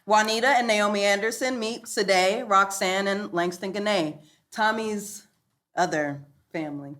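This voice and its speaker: An actress playing an awkward, officious executor with a formal, hesitant manner. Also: female voice, mid-range voice, a little slow, fairly bright tone, lightly acted. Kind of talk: live comedy table read.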